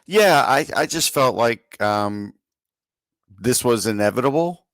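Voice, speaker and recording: A man's voice: mid-range voice, lively intonation, slow pace, dry recording. A man co-hosting a sports podcast in a casual conversational style.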